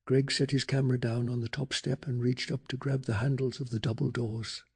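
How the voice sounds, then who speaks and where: Hushed, breathy man narrating softly. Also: mid-range voice. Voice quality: breathy, gravelly. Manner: slow, calming, hushed delivery. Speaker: ASMR narrator reading a bedtime story. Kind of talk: soft-spoken ASMR storytelling.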